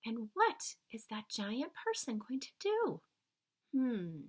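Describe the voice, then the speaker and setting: A woman reading a children's story aloud in an expressive, suspenseful way, stretching key words for emphasis and chatting to young listeners. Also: fairly high pitch, slow pace. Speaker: children's book read-aloud reader.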